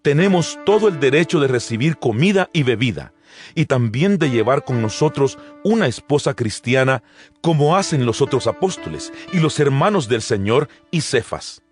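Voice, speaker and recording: Actor como apóstol serio, muy expresivo. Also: male voice, medium pitch, expressive, lightly acted. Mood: emphatic, defensive. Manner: measured, emphatic, didactic. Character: earnest male apostle addressing his congregation. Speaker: narrator of an audio Bible. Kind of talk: dramatized scripture reading. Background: background music.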